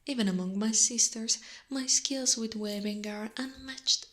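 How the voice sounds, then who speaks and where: A woman voicing a giant, possessive, seductive spider monster in a breathy, whispered ASMR roleplay. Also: on the high side, a bit bright. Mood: proud, predatory. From Italy. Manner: breathy whispering, slow and deliberate.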